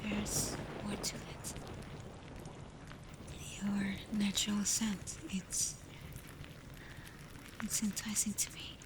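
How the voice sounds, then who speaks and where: A woman playing a tender, protective alpha werewolf in an intimate ASMR roleplay, speaking softly and breathily, often in a whisper. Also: medium pitch, little pitch movement. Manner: slow, gentle, hesitant when confessing feelings. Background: ambient storm noise.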